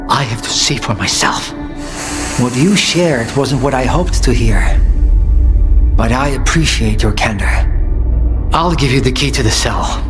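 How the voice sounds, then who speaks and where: Mid-pitched male, actor as guarded resolute ally, earnest, serious, game cutscene drama, scary music behind. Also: close to an everyday voice. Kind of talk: dramatic video game acting.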